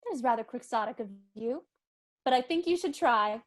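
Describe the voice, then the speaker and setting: A woman's voice: a little high, expressive, moderate pace, lightly acted. A woman playing a prim, innocent and frank young society girl in a dramatic reading of a stage comedy. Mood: primly teasing.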